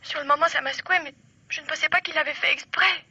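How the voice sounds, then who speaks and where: A woman's voice: high voice, steady tone, fast, bright, forceful tone, no room sound. An actress playing a terrified young mother and wife, pleading with dramatic hesitations, in old French radio mystery drama style. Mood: frightened.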